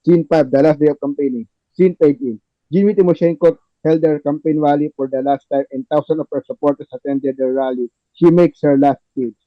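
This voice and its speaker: A man's voice: fairly high pitch, steady tone, brisk, dry recording. A man reading stage directions as the narrator of a scripted table read, in a dull lo-fi recording.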